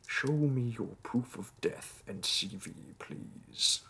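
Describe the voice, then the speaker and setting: A gravelly, unhurried demon clerk of hell played by a man, courteous and officious with a dry wit. He speaks formally in a roleplay audio, with breath in the voice and faint noise behind him. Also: medium pitch, pushed and bright. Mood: dryly pleased. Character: wry, courteous bureaucratic male demon interviewer in hell. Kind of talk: scripted roleplay audio monologue addressed to the listener.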